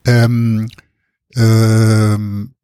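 A man talking casually and explaining things as an interview guest, with a husky voice. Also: fairly low pitch, completely flat delivery, extremely slow, mellow, no echo, studio quality. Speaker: interview guest, author with screenwriting experience.

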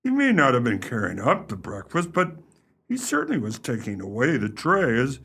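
An actor playing an unimaginative, easily astonished chief constable in a radio play, with a gravelly, husky voice and plain, blustering speech. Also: male voice, mid-range voice, wildly swooping pitch, moderate pace, fairly bright tone, full character voice. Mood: baffled, impressed.

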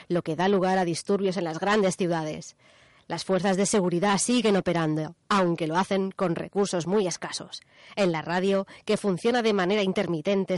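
A woman's voice: fairly low pitch, darkish tone, no echo. Spanish woman co-hosting radio, dry studio sound. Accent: Spain. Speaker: radio show co-host. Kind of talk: casual radio talk with some read script.

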